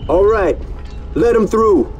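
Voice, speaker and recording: An actor playing a hostile, foul-mouthed thug guard in a video game, aggressive and mocking. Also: male voice, high-pitched, expressive, slow pace, a little mellow, slight background noise. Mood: sneering. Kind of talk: video game cutscene acting.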